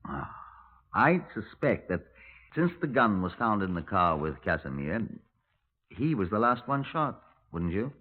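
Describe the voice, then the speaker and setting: British police superintendent: lowish, very expressive, wry clipped banter, narrow vintage radio-drama sound. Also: male voice, unhurried. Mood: considered. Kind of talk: 1950s radio drama acting. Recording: tinny, narrow-band vintage radio recording.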